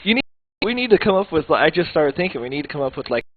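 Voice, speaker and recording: A man's voice: on the high side, sing-song, fast talker. A man co-hosting a live radio show with casual, enthusiastic, informal talk. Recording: narrow phone-like sound, noisy background.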